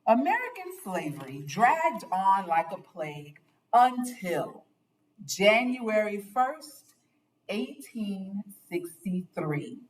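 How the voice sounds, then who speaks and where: A woman reads a picture book aloud as a storyteller, taking her time over each phrase. Her delivery is expressive and dramatic, with deliberate weight on the words. Her voice is mid-pitched and sits a little way from the microphone. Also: recorded from a distance, rough recording. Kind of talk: expressive children's read-aloud narration.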